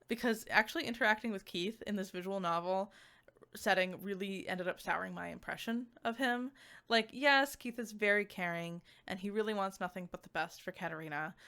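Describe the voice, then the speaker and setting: A woman giving a casual, conversational review to viewers, animated and peppered with "like" asides. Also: mid-range voice, clear, resonant tone. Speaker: visual novel reviewer.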